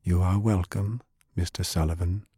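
A man voicing a calm, formal ruler of an empire who speaks slowly and coldly in a storybook reading. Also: sits low, husky, no echo, studio quality. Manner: measured, formal. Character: calm powerful leader, formal and cold.

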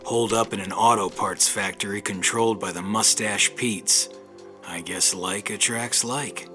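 An actor playing a wry, laconic, world-weary ex-convict detective in a hardboiled audio drama. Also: male voice, lowish voice, fairly even intonation, unhurried, over music, close to an everyday voice. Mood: grimly resolved.

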